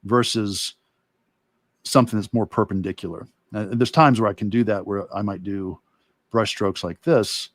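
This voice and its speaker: Low-voiced man teaching art, dry recording. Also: fairly even intonation, moderate pace, no echo. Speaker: art instructor and illustrator. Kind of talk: casual livestream demo talk and teaching.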